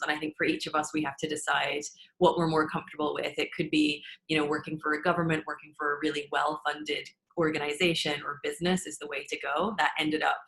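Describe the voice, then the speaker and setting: Low, even-voiced woman on a panel. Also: a little hiss or hum, rough recording. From Scotland. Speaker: panelist, agency founder. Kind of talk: virtual panel talk. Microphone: video call.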